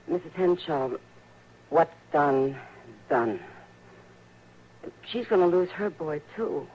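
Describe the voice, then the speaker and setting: Frontier woman for radio western: frank, earnest, very expressive intonation, dull and slightly noisy vintage sound. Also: low voice, takes it slowly. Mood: earnest pleading. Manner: earnest, pleading. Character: Kitty Russell, frank, concerned, plain-spoken frontier woman. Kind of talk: old-time radio western acting.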